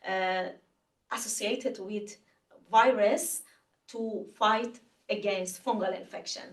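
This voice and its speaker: A woman's voice: mid-range voice, steady tone, slow, distant mic. A woman whose first language is Farsi, a researcher answering audience questions after her talk, hesitant and full of fillers. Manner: hesitant, many fillers, searching for words. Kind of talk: academic Q&A. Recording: echoey room, voice from off-mic.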